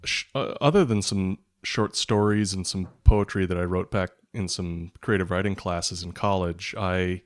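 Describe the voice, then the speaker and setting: A man's voice: deep voice, fairly even intonation, moderate pace, few pauses. A man talking reflectively as a guest in an interview, in an unscripted conversational way. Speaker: guest, author.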